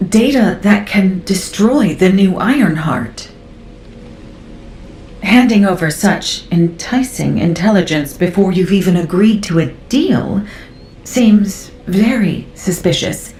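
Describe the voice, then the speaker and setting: A woman's voice: mid-range voice, expressive, slow pace, darkish tone, faint music behind. Analytical woman scientist in echoey room. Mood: wary interest. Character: curious, analytical, cautious female scientist. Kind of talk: video game dialogue acting. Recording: roomy game audio, faint noise.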